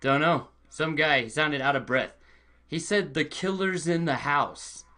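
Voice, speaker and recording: Guy playing cocky dismissive game night buddy, amateur script read, bright sharp voice. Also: on the high side, measured pace, pitched below the performer's own voice. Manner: smug, dismissive. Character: cocky, dismissive board-game winner in horror spoof.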